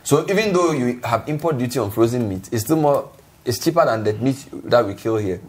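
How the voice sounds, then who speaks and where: Man, TV talk host, husky voice, brisk, reads viewer texts aloud. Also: mid-range voice, expressive, a little mellow. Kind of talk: reading viewer messages, moderating panel.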